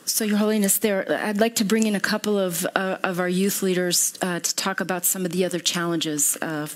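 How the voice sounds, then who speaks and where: A woman's voice: on the low side, steady tone, a little fast. A woman moderating a panel, politely passing the floor to a youth leader.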